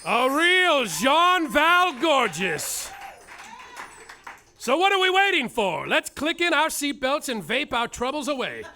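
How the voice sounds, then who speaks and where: Guy doing a drawly stoner detective narrator, real high and swoopy, takes his sweet time. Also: sing-song, slow, pushed and bright, exaggerated voice. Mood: grandiose. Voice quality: florid, drawling, grandiloquent. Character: grandiose adult male detective narrator, smooth and stoned. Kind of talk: theatrical narration on a live stage. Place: live theater stage.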